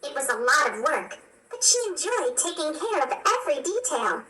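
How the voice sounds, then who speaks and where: A woman reading a children's storybook aloud in an expressive, animated storytelling manner. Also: high-pitched, moderate pace. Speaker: storybook narrator. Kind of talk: scripted children's read-along narration. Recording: roomy, distant, faint background noise.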